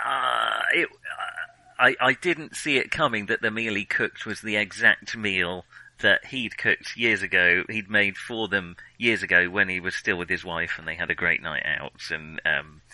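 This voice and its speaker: A man co-hosting a film podcast, talking casually in an excitable, halting way as his thoughts tumble out. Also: on the low side, no room sound, poor audio.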